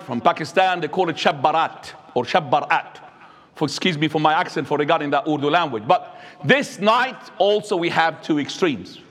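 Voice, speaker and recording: A man, preaching a sermon as an imam, swinging between high and low. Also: fairly bright tone. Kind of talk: Friday sermon. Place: mosque. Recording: echoey hall.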